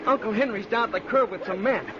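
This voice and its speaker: Man playing a high-voiced, impatient country fellow. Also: fast talker, close to an everyday voice. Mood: alarmed, impatient. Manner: scolding, rural speech. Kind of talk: vintage radio drama acting. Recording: muffled, noisy old radio recording.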